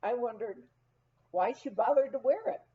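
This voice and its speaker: A woman reading a humorous memoir aloud as an expressive, animated storyteller, acting out the dialogue. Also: on the high side, moderate pace, phone-quality sound. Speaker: audiobook reader.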